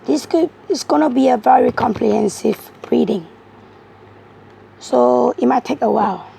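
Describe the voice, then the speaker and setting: A woman with a hoarse voice, giving a rambling, halting monologue as a tarot reader. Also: a little high, dark tone, slight background noise. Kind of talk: casual tarot video monologue.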